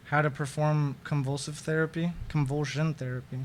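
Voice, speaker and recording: A man's voice: a little high, little pitch movement, normal speed, a little hiss or hum. A young man in a band talking casually about upcoming shows, rambling and stopping to search for words. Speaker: band member. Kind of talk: casual chat.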